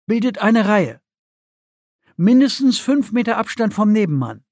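A man's voice: high-pitched, sing-song, unhurried, darkish tone, dry recording, clean studio sound, voice pushed up, heavily acted. A man voicing a stern female alien expedition leader who gives clipped, authoritative orders in an audiobook. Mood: commanding.

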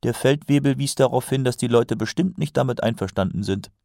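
A man's voice: medium pitch, brisk, gravelly, darkish tone, dry recording, studio quality, voice pushed up, lightly acted. A man voicing an earnest, naive and eager young watch recruit in an audiobook, with a matter-of-fact manner. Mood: earnest, confident. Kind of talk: audiobook character voice.

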